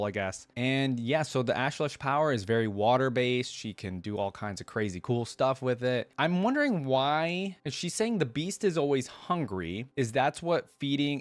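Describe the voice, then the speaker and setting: A man's voice: medium pitch, no room sound. Man giving casual, close-sounding reaction commentary. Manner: pauses to speculate aloud. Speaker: reaction video host. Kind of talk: casual reaction commentary, reading a story aloud.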